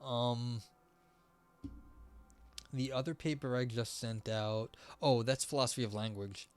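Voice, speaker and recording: A man's voice: mid-range voice, fairly even intonation, unhurried, no room sound. Rambling young man streaming, voice tiring. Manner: rambling, many fillers and restarts. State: voice going hoarse. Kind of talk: casual live-stream chat.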